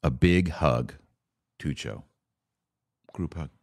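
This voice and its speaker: A man's voice: a bass voice, slow pace, a little mellow, no echo, clean studio sound. An American man giving opinionated solo podcast commentary, sarcastic and emphatic, repeating phrases for effect.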